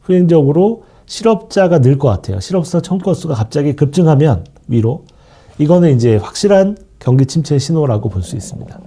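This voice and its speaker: A man's voice: a little high, big pitch swings, brisk, dark tone, breathy edge. A man with a sore throat from a cold, giving an explanatory lecture on the market outlook as a fund manager, with frequent fillers and trailing asides.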